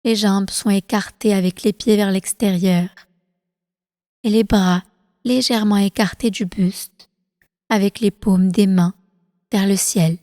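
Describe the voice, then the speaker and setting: A woman leading a guided relaxation meditation as instructor, calm and soothing, with slow, deliberate phrasing. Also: mid-range voice, dry recording, clean studio sound, big-room sound. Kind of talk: guided prenatal meditation, yoga nidra.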